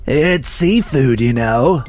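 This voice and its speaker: A man's voice: mid-range voice, expressive, takes it slowly, darkish tone, faint music behind. A man voicing a smug, defensive supermarket executive in a comic dub, with a dismissive manner. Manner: dismissive, self-justifying. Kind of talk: dubbed comic voice acting.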